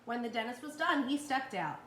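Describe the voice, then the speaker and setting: A woman's voice: on the high side, animated, brisk, fairly bright tone. A woman reading a children's story aloud expressively as a storyteller. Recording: roomy, echoey with faint noise.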